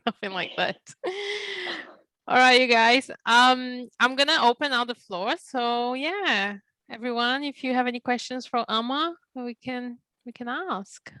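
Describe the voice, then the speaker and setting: A woman's voice: a little high, measured pace, bright, forceful tone, no echo. A woman hosting a live discussion, upbeat and laughing easily as she opens the floor. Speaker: host.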